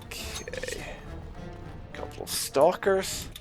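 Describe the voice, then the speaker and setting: A man giving casual gameplay commentary in a wry, understated manner. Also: takes it slowly. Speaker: gaming channel host. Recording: game music loud behind voice. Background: game music.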